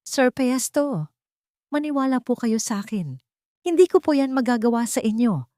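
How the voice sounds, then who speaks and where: A woman's voice: a little high, sing-song, normal speed, mellow, no echo, studio quality, voice pushed up. A woman as a pleading young housemaid. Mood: desperate pleading. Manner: pleading, deferential. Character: humble, pleading young housemaid, falsely accused.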